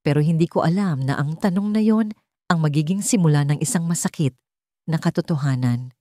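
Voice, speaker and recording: Female storyteller, low register, scripted first-person narration, close dry sound. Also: fairly quick, mellow, no room sound.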